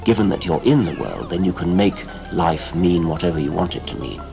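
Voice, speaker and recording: Deep voiced guy explaining stuff carefully, lots of fry, music behind. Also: lively intonation, brisk. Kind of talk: explanatory spoken talk.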